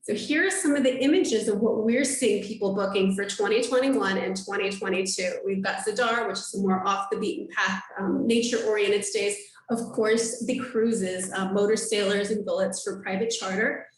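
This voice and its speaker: A woman's voice: medium pitch, fairly even intonation, moderate pace, hardly any pauses, echoey room, far from the mic, rough recording. A woman giving an upbeat travel presentation on a video call, with frequent ums and uhs. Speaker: tour operator presenter. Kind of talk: webinar sales presentation.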